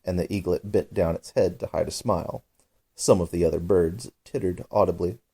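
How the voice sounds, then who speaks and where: A man's voice: deep voice, fairly even intonation, moderate pace, creaky, dark tone, breathy edge. A man narrating a children's bedtime story as a storybook reader.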